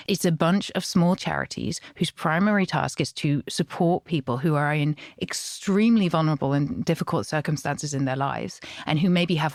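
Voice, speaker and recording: A woman's voice: sits low, a little mellow, dry recording, clean studio sound. A woman campaigner speaking as a guest in an informal political discussion, emphatic and repeating phrases for weight.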